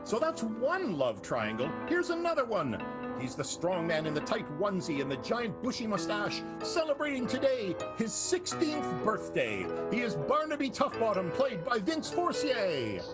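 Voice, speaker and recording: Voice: medium pitch, huge pitch swings, normal speed, over loud music. A narrator introducing the characters of an improvised radio soap in a playful announcer style.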